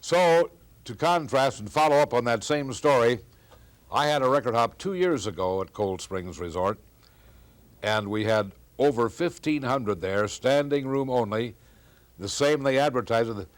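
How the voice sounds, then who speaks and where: A man's voice: medium pitch, no echo. An older man, a radio veteran sharing memories in a group interview.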